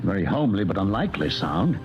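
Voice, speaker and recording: A man's voice: low voice, big pitch swings, fast talker, dull audio. An actor playing a cynical, uneasy male scientist with a dry, precise manner in an old-time radio drama. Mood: dryly curious. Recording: old radio broadcast, music behind.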